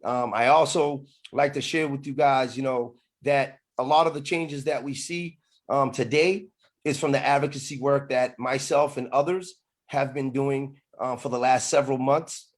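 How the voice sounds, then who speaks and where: A middle-aged man gives a campaign speech over a video meeting. His voice sits at an average pitch. He speaks haltingly, pausing often and repeating phrases as he makes his case as a candidate. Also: steady tone. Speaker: political candidate.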